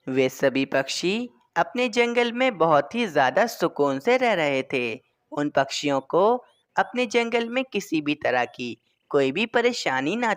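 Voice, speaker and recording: Smooth steady storybook narrator. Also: mid-range voice, no room sound, clean studio sound.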